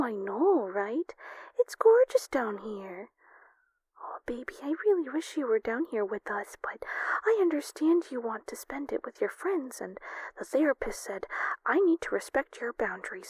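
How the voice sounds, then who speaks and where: Doting, chatty mother played by a woman for audio roleplay: sing-song, coddling, very animated, close dry sound. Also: fairly high pitch, normal speed, breathy edge, no room sound, low-quality recording. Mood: cheery, doting. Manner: gushing, sing-song, coddling with pet names. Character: doting, chatty, slightly clingy mother on a phone call.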